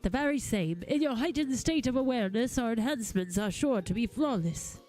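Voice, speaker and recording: Woman playing a pompous, flamboyant gentleman inventor. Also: on the high side, expressive, fairly quick, faint music behind, close to an everyday voice. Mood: brisk, confident. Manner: pompous, florid, theatrical. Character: flamboyant, grandiose gentleman inventor in a fantasy game. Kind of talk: live read-aloud of game dialogue.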